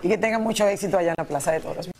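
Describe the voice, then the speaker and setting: A man's voice: high voice, very fast. A man co-hosting a television show in unscripted, conciliatory studio chat. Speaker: TV show co-host.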